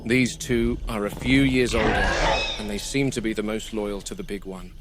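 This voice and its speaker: A man playing a grim, wary game warden who narrates a found-footage horror field log in a measured, grave, deliberate manner. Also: lowish voice, fairly even intonation, lightly acted. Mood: watchful, absorbed. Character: grim, wary male game warden logging predator behavior. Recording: noisy VHS-style found-footage recording.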